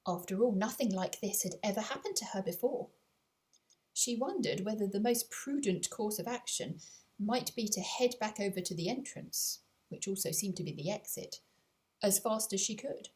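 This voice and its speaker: A woman reading a children's story aloud in an expressive way, with deliberate dramatic pauses. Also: mid-range voice. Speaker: author reading their own audiobook. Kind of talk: scripted children's story narration.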